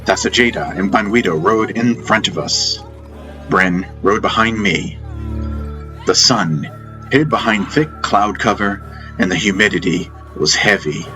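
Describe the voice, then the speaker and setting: A man's voice: fairly low pitch, a little slow. A man reading a first-person fantasy story as scripted audiobook narration. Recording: music bed behind narration. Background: background music.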